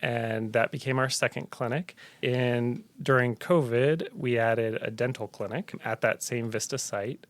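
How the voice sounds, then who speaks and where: A middle-aged man from Tanzania, a health center director, answering interview questions in an earnest, reflective storytelling manner. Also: on the low side. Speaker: health center executive director, podcast guest.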